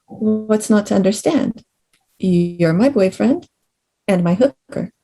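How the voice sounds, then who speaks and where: Woman acting mellow-voiced, entitled rich woman. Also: medium pitch, lively intonation, slow pace, faint music behind. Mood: demanding. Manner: blunt, matter-of-fact. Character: entitled, demanding, cool rich woman hiring an escort. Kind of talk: screenplay table read.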